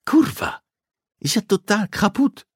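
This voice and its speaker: A man's voice: sits high, sing-song, unhurried, breathy edge, no room sound, studio quality, pitched above the performer's own voice, exaggerated voice. A man voicing a cold, indignant teenage boy in an audiobook thriller. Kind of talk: audiobook character dialogue.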